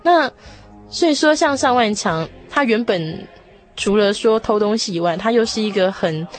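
A woman's voice: medium pitch, animated, normal speed, soft background music. A woman hosting a conversational religious talk in an earnest, reassuring manner. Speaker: radio show host.

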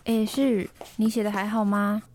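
A woman's voice: medium pitch, little pitch movement, normal speed, darkish tone, close to an everyday voice. A woman with a Taiwanese Mandarin accent playing a composed, helpful, know-it-all young dorm mate in a podcast drama. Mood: encouraging. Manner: matter-of-fact, reassuring, know-it-all. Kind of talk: podcast radio drama acting.

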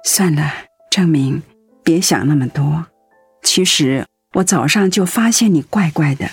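A woman's voice: low-pitched, measured pace, slightly rough, soft background music, heavily acted. A woman playing a devoted, anxious middle-aged wife in a radio drama, earnest and full of concern. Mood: worried.

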